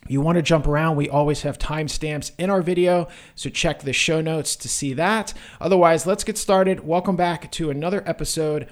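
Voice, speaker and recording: A man's voice: fairly high pitch, fairly even intonation, normal speed, hardly any pauses. A man hosting a podcast, opening the show and chatting with his guest in an upbeat, conversational way. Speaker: podcast host.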